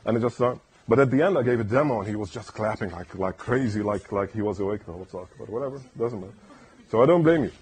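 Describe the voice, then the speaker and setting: A man giving a casual technical talk at a conference, rambling and tagging "right?" onto his points in a soft, soothing voice. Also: on the low side, animated, fast talker. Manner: rambling, keeps asking "right?". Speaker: conference speaker. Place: lecture room.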